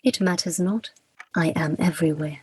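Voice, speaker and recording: Woman as a very husky, formal Grim Reaper. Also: low-pitched, a little mellow. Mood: reserved, earnest. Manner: stiff, formal, measured diction. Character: Death the Grim Reaper, formal, literal, reserved and solemn. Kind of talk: radio play acting.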